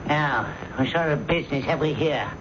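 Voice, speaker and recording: An actor playing a stern, conservative company boss in a radio drama, brusque and pompous. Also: male voice, fairly high pitch, moderate pace, lightly acted. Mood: stern, displeased. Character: stuffy, stern male company boss, image-conscious and conservative. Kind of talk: old-time radio drama acting. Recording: dull, noisy old radio broadcast.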